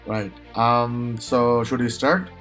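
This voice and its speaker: A man's voice: mid-range voice, level tone, slow, music in the background. A young man from Bangladesh hosting a casual interview over a video call, talking with many fillers and restarts. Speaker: podcast host.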